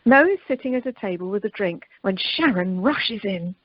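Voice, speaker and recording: A woman's voice: mid-range voice, lively intonation, normal speed, lo-fi sound. A woman narrating a radio play from a script.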